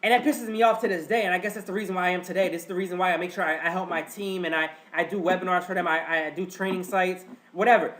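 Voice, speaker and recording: A man's voice: high-pitched, fairly even intonation, fast talker, hardly any pauses, fairly bright tone. A man with a stuffed-up nose hosting a live webinar, chatty and repetitive, often calling his listeners guys. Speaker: webinar host. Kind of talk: casual live webinar teaching.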